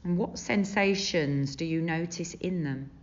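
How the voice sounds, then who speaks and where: Lady doing a guided meditation, really slow n gentle, kinda muffled recording. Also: fairly low pitch. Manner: gentle, soothing, unhurried with long pauses. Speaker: yoga and meditation teacher. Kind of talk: guided body scan meditation. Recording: dull, muffled lo-fi sound.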